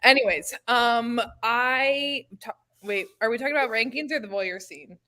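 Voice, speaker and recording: High, bright woman chatting loosely. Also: normal speed. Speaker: book club panelist. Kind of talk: casual book chat.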